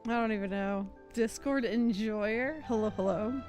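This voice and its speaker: A woman livestreamer chatting casually with viewers over a game, giggly and quick to laugh. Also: a little high, a little mellow, soft background music. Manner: giggly, bubbly, laughs often. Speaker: livestreamer host. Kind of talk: casual chat with live viewers while gaming.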